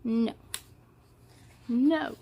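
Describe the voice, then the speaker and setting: Woman as Alma, a high-voiced plucky young girl. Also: drawn-out words, gravelly, highs cut off, full character voice. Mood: defiant. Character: Alma, anxious young girl finding her courage.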